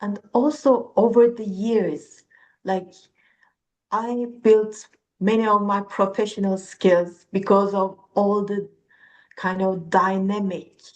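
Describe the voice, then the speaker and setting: A woman's voice: medium pitch, little pitch movement, slow pace, darkish tone, muffled recording. Earnest Turkish-born woman in her fifties. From Turkey. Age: 50s. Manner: reflective, earnest, searching for words. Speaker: podcast guest, psychotherapist. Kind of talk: conversational interview.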